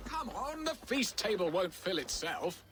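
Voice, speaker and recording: An actor playing a jovial, middle-aged Saxon lord with a warm, florid, teasing manner in a video game. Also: male voice, high voice, big pitch swings, measured pace, background noise. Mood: hearty, fond. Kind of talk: video game drama acting.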